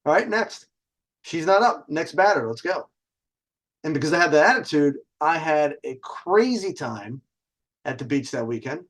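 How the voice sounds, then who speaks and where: Guy telling stories and dating advice, kinda high voice, really swingy pitch, cocky and sweary. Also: normal speed. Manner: animated, cocky, conversational, swearing. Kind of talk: casual storytelling with dating advice.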